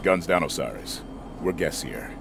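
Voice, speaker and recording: Military squad leader, video game, deep voice, clipped, composed, orchestral score behind. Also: male voice, animated, moderate pace, gravelly, noisy background, heavily acted. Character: disciplined soldier, adult male, calm squad leader. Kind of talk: video game cutscene acting.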